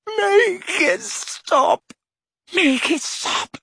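A tormented old wizard wailing. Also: male voice, lively intonation, gravelly, sharp tone, dry recording, studio quality, exaggerated voice. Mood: anguished. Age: older. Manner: high wailing cries, slow and broken.

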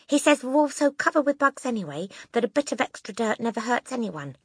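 A reader putting on a small brave schoolboy, high lilting pitch, slightly husky, cheeky, storybook character. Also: very animated, fairly quick, dry recording, studio quality. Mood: cheeky, earnest. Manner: brave, polite but cheeky answers. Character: small plucky schoolboy, cheeky and matter-of-fact.

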